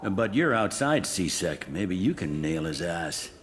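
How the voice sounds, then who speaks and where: Low-voiced, blunt police captain. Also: male voice, sing-song, slow, a little hiss or hum, heavily acted. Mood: frustrated, frank. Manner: blunt, wry. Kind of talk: video game acting.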